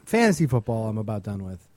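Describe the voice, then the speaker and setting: A man's voice: lowish voice, big pitch swings, brisk, darkish tone, no room sound. A man on a podcast, chatting casually about sports with his co-host.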